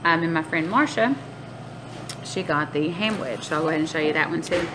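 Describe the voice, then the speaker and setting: A woman's voice: low-pitched, a little fast. Chatty woman, noisy phone recording. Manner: chatty, informal, addresses viewers as guys. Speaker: vlogger. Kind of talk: casual vlog chat. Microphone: phone at arm's length. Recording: noisy amateur phone video.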